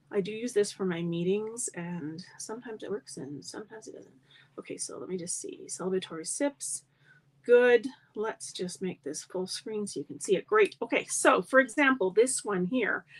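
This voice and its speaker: A middle-aged woman demonstrating card making in a live tutorial, chatty and friendly, with a slightly froggy voice. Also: medium pitch. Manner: chatty, friendly asides to viewers.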